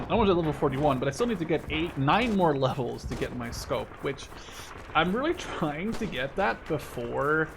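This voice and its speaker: A man in his twenties from the Netherlands giving casual gameplay commentary, rambling and hesitant, often breaking off mid-sentence. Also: medium pitch. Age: 20s. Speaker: gaming video creator. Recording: loud gunfire and artillery noise. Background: video game gunfire, artillery and machine guns.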